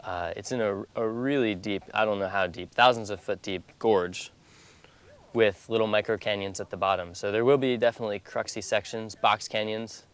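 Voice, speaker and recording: A man's voice: lowish voice, fairly even intonation, normal speed. An American man in his twenties from North Carolina, explaining plans casually in an interview. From North Carolina, USA. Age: 20s. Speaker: expedition paddler being interviewed.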